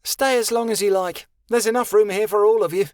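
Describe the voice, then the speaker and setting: A man's voice: sits high, brisk, a little mellow, no room sound, studio quality, voice pushed up, lightly acted. A man voicing a welcoming woman.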